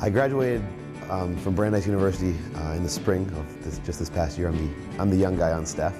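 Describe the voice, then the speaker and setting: A young man in his twenties talks as a science teacher, warm and encouraging, with plenty of restarts and fillers. His voice sits low, and music plays clearly under him. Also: brisk. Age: 20s. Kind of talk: interview and classroom talk.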